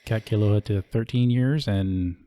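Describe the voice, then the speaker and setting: A man's voice: fairly low pitch, moderate pace, mellow, dry recording. A man hosting a podcast from Hawaii, chatting informally with lots of casual fillers. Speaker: podcast host. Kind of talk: casual interview conversation. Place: podcast studio. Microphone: studio microphone.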